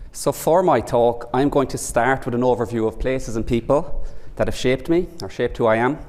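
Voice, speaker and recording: A man's voice: medium pitch, darkish tone. Cork-accented man lecturing in a hall. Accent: Cork Irish. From Ireland. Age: 40s. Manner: measured, reading a prepared speech. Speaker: professor giving inaugural lecture. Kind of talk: formal prepared lecture.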